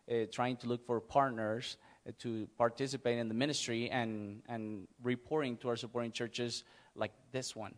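A middle-aged man speaks English with a Mexican accent at a medium pitch. He is a missionary guest answering questions, plain-spoken and a little halting, often repeating words as he explains. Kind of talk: informal interview before a church audience.

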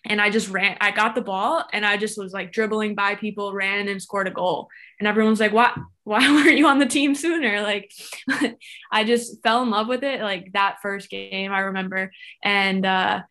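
A woman's voice: medium pitch, steady tone, normal speed, sharp tone. Young woman, chatty, easy laugh, casual guest storytelling, lots of fillers. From Florida, USA. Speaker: guest, former soccer player. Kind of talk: casual interview chat.

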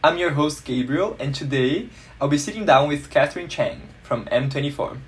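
Playful man hosting a podcast, higher voice. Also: normal speed. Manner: friendly, playful, hesitant restarts. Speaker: podcast host. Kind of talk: hosting a casual interview podcast.

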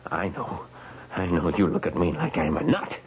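A man's voice: low-pitched, big pitch swings, moderate pace, breathy edge, exaggerated voice. An actor playing a panicked young man who stammers, gasps and pleads in a 1970s radio drama. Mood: desperate. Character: panicked young man trapped in an old man's body. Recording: dull, noisy old radio recording.

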